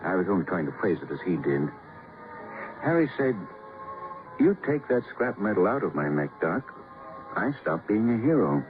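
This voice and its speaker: A man playing a grave, cultured, mournful doctor with florid, measured phrasing in an old radio drama. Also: sits low, sing-song. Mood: intense, emotional. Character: grave, cultured, theatrical male society doctor, mournful and guarded. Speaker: actor in a radio detective drama. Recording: muffled old radio broadcast with music.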